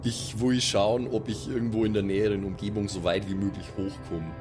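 Lower-voiced man, casual gamer. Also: flat delivery, a little fast. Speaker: tabletop role-play player. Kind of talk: casual improvised chat. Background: prominent background music.

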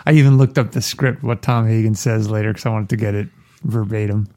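A man's voice: lowish voice, a little mellow. A man co-hosting a podcast in casual chat, teasing and laughing often. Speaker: podcast co-host.

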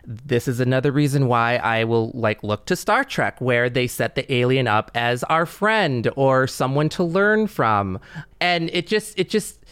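A man's voice: medium pitch, lively intonation, measured pace, fairly bright tone, clean tone, dry recording. A man hosting a podcast in an enthusiastic, casual conversational style. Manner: enthusiastic, effusive. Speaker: podcast co-host.

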